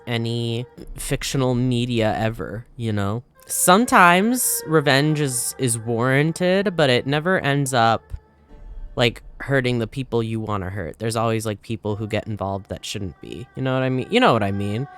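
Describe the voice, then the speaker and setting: A man's voice: medium pitch, lively intonation, moderate pace, a bit bright, clean tone, faint music behind. A young man doing casual, slangy, offhand gameplay commentary. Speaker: gaming streamer reacting to a story quest.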